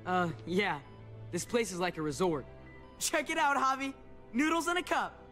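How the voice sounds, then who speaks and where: A man's voice: high-pitched, sing-song, normal speed, pushed and bright, soft background music, exaggerated voice. An actor playing an eager, cheeky teenage boy survivor in a cinematic video game. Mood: excited, cheeky.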